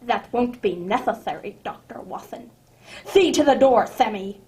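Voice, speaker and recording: A woman's voice: on the high side, animated, normal speed, a little mellow, close to an everyday voice. A woman playing a menacing, impatient criminal boss in a radio drama, curt and threatening. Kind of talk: amateur radio drama acting. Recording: faintly noisy amateur recording.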